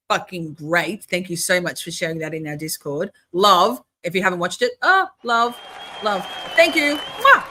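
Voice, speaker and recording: A woman's voice: on the low side, bright, forceful tone. An older Australian woman with a mixed accent, chatting casually to her viewers while hosting a live reaction stream. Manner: chatty, talks to chat.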